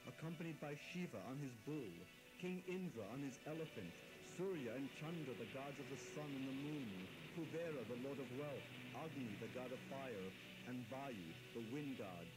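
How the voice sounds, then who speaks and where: Solemn male narrator over loud music. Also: medium pitch, moderate pace, low-quality recording. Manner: formal, solemn. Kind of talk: scripted storytelling narration for devotional audio drama.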